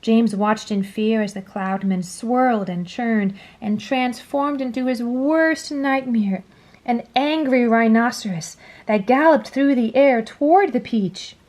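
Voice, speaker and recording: A woman reading a children's story aloud in a dramatic, deliberate storytelling manner. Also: fairly high pitch, darkish tone. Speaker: storybook reader. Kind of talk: scripted children's story read-aloud, expressive.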